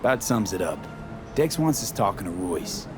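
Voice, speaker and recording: A level-headed young mercenary talks in short, blunt lines with a lowish voice. Game dialogue sits over loud music. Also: male voice, sing-song, normal speed. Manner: terse, matter-of-fact questions. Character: young male street mercenary, direct and cool. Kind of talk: video game voice acting.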